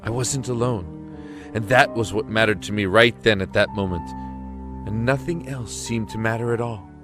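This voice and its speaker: An actor playing an earnest, anguished young man locked in a dark cell, speaking haltingly and emotionally in an audio drama. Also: fairly low pitch, roomy sound, lightly acted. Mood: wistful narrating. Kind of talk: audio drama acting and first-person narration. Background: music.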